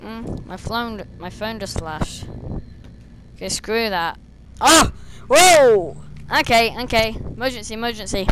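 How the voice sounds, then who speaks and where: A young woman, slightly hoarse and losing her voice, chatting and rambling as she plays a stealth game at her desk, talking to the enemies on screen. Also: fairly high pitch, a little slow, background noise. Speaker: gameplay streamer. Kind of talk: casual gameplay commentary. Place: small room indoors, seated at a desk.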